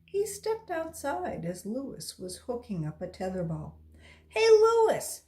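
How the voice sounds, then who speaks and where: A woman reading a children's story aloud as a volunteer, in a deliberate storytelling style. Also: medium pitch, wildly swooping pitch, dark tone.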